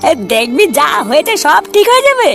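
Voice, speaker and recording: Schoolboy pal for cartoon male actor: high pitched-up voice, gentle consoling manner, hesitant, music behind. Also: very animated, brisk, gravelly, full character voice. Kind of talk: Bengali comedy cartoon dub.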